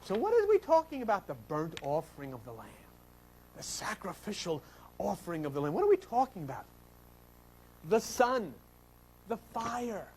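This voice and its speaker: A man giving an animated esoteric religious lecture, speaking emphatically with repetition and rhetorical questions. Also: high-pitched, slight background noise, low-quality recording. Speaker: spiritual lecturer.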